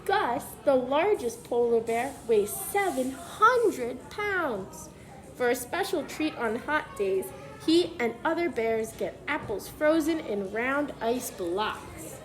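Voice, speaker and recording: High-pitched woman reading aloud to children. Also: animated. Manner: instructive, pausing to question young listeners. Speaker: preschool teacher. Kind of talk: children's picture book read-aloud with questions.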